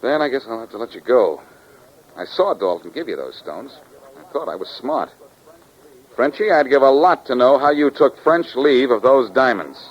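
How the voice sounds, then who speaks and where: Brash, sardonic adventurer male actor, animated delivery, dulled vintage radio crime-drama sound. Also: medium pitch, moderate pace, lightly acted. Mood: rueful, wry. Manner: glib, slangy, sardonic. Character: brash, wisecracking male adventurer outwitting crooks and police. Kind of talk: 1940s radio drama acting. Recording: muffled 1940s radio recording.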